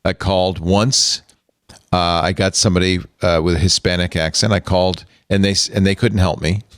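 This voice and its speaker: An older man hosting a casual panel chat from a home studio, animated, chuckling, with quick asides. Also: sits low, dry recording. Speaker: host. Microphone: studio mic on arm.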